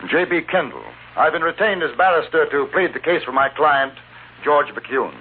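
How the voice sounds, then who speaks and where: A man playing a courteous, wordy British gentleman barrister in a 1950s Western radio drama, speaking formally and articulately. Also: on the high side, lively intonation, normal speed, lightly acted. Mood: formal, earnest. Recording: tinny 1950s radio recording, hiss.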